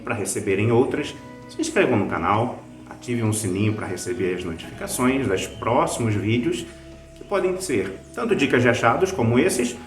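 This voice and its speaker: Brazilian man with lively, swinging intonation. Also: lowish voice, roomy sound. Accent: Brazilian Portuguese. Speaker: video host recommending podcasts. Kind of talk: conversational scripted review.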